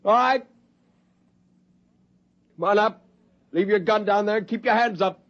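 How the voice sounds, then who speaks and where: An actor playing a bitter, haunted rebel astronaut with brooding, defiant intensity in 1950s radio drama style. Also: male voice, high-pitched, a little slow, fairly bright tone. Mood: strained shouting. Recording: dull, hissy 1950s radio recording.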